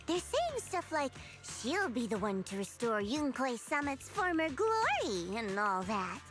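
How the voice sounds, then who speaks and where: A woman's voice: high voice, very animated, a little slow, music in the background. A woman voicing a teasing, self-assured young female agent in a video game, with a playful, smug, coaxing delivery. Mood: breezy, playful.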